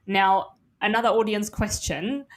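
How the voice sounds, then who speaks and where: A woman moderates a live online panel over a video call. Her voice is mid-pitched, and she keeps things moving briskly and encouragingly. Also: fairly even intonation. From Germany.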